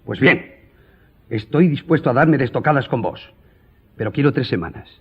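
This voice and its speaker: An actor playing a proud, composed gentleman journalist in a radio drama, speaking formally and firmly. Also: mid-range voice, animated, breathy edge, muffled recording. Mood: firm, offended. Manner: formal, measured, firm. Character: proud, composed male newspaper journalist, duel-ready gentleman.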